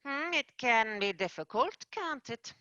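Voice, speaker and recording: A woman playing a formal, measured manager of tooth fairies, in an amateur radio play. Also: dry recording, muffled recording. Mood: formal, brisk. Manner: formal, measured, lively pitch. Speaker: manager firing an agent.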